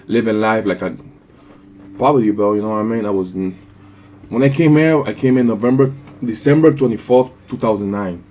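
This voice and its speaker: A man's voice: lowish voice, fairly quick, a little mellow, slight background noise. A 24-year-old man from the Dominican Republic with a Caribbean English accent from the Virgin Islands, rambling casually through unedited vlog questions, swearing and saying "you know what I mean" constantly. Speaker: vlogger answering viewer questions.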